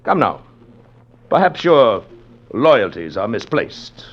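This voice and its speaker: An actor playing a pompous, menacing aristocratic big-game hunter in an old-time radio drama, with florid, formal speech. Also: male voice, fairly low pitch, very animated, takes it slowly, heavily acted. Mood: pompous, scheming. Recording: muffled, noisy old radio recording.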